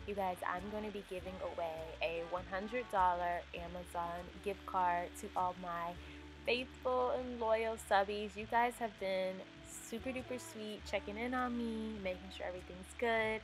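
A woman's voice: mid-range voice, steady tone, moderate pace, low-quality recording. Chatty young woman vlogger. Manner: chatty, upbeat, with fillers like um and like. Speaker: home decor vlogger. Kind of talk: casual voice-over narration. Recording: faint music underneath.

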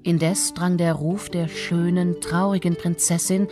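A woman's voice: on the low side, little pitch movement, measured pace, dark tone, faint music behind. A woman reading scripted fairy-tale narration as the storyteller.

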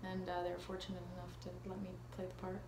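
A woman's voice: lowish voice, monotone, quick pace. A woman in her thirties with a Canadian accent, chatting casually as an interview guest in a self-deprecating, hesitant way. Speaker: actress being interviewed. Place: living room at home. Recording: echoey room with ambient noise.

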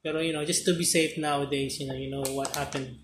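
A casual reviewer in their thirties speaks with a Filipino accent as a native Filipino speaker. The talk rambles and circles back on phrases at a middling pitch. The recording is echoey, with clear background noise. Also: fairly even intonation, quick pace. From Philippines. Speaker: snack reviewer. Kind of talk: casual chat, mixing Tagalog and English. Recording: roomy echo, noisy background, clicks.

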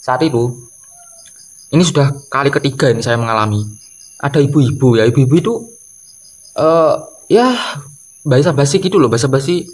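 Exasperated young man, halting. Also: mid-range voice, animated. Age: early 20s. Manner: exasperated, halting, repeats phrases, slips in English swearing. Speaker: video creator. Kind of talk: casual comedic rant to camera. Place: doorway at home by a wooden door. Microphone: camera or phone close in front.

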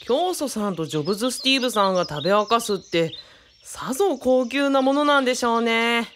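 A woman's voice: fairly high pitch, expressive, brisk, background noise, natural voice. A woman playing a polite, easily impressed male companion in a radio drama, reacting with wonder. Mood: amazed. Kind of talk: hobby radio drama dialogue.

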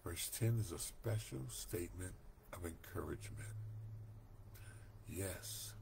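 An older man reading a Bible study lesson aloud from home, slow, deliberate and earnest, recorded on a webcam mic. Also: sits low, vocal fry, darkish tone, slight background noise. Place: home room, indoors. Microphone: webcam at desk.

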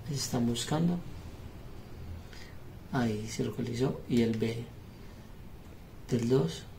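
A man gives an unscripted hands-on tutorial, explaining step by step in halting phrases with pauses. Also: medium pitch, dark tone. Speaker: seller demonstrating referee intercom gear. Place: small indoor room at a table. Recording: clear background noise with device clicks.

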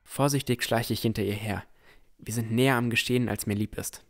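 A man playing a dreamy, curious young villager who narrates a fantasy audio drama in measured tones. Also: on the low side, steady tone, creaky. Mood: puzzled, tense. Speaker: narrator and lead character.

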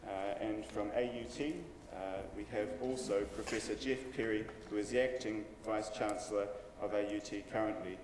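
A man in his forties at a lectern, giving relaxed, joking housekeeping announcements as the event MC, full of ums and uhs. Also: fairly low pitch, normal speed, hardly any pauses. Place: conference room, at a lectern. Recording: roomy hall reverb, distant mic, faint hiss.

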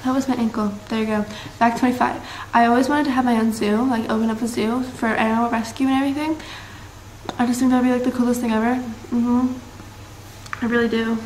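Teen girl rambling at her webcam, kinda high voice, roomy echo and light hiss. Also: flat delivery, brisk, a little mellow. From Massachusetts, US. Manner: bubbly, rambling, lots of fillers and likes. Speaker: vlogger. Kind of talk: casual chat to camera. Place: bright room indoors. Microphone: built-in camera mic, close webcam framing.

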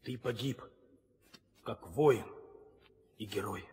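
An actor playing a loyal, earnest warrior brother in a 1960s audio drama. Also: male voice, lowish voice, slow, darkish tone, slightly rough, full character voice. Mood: solemn. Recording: long reverb, old studio recording.

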